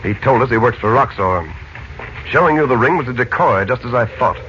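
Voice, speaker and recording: An actor playing a resolute, protective heroic uncle in a 1940s-style radio serial, with a commanding, deliberate delivery. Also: male voice, medium pitch, lively intonation, a bit bright, lightly acted. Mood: calm, purposeful. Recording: dull lo-fi old radio, faint noise.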